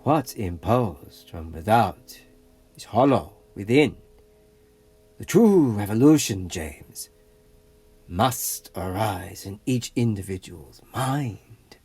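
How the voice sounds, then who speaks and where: An actor playing a grandiose, idealistic rebel leader who narrates an audio comic drama in a theatrical, deliberate way. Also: male voice, on the low side, expressive, a little mellow, slightly rough, dry recording, exaggerated voice. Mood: persuasive.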